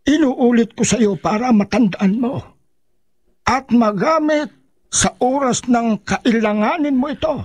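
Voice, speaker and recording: A man's voice: extremely high pitch, a little slow, slightly rough, exaggerated voice. A man playing a devout, insistent old mentor in a radio drama, earnest and preachy. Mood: earnest, instructive. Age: older.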